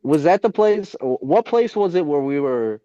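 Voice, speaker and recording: A man's voice: on the high side, lively intonation, normal speed, warm tone, dry recording, highs cut off. A man co-hosting a podcast, teasing his friends with laughter in casual chat. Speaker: podcast co-host.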